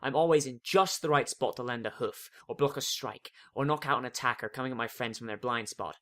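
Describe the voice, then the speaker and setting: A man's voice: medium pitch, a little fast, bright, forceful tone. A man giving a dramatic first-person reading of a fan fiction story.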